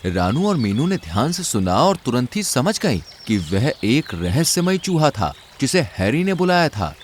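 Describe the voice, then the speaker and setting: A man's voice: medium pitch, very animated, a little slow, slight background noise. A man narrating a scripted children's story.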